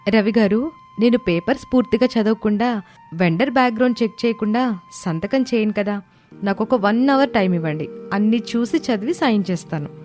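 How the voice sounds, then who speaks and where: A woman's voice: on the high side, brisk, a little mellow, faint music behind, close to an everyday voice. A woman voicing an earnest young working daughter-in-law who defends herself in a pleading, protesting manner in a moral story. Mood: firm, earnest. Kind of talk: animated moral story dialogue.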